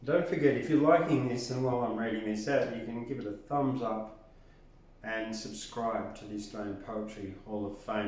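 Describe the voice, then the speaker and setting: A man's voice: lowish voice, darkish tone. An older man hosting casually, introducing a record and reading out a track list, recorded indoors by a camera across the room. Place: indoors, small home-like room with record player. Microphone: camera a few metres away. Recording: very echoey room, distant microphone.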